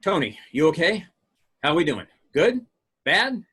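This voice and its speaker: Man as husky, bright-voiced flustered scientist. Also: on the high side, very animated, unhurried, heavily acted. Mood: worried. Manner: frantic, strained. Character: anxious, flustered male scientist, frustrated with himself. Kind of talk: amateur table read of a film script.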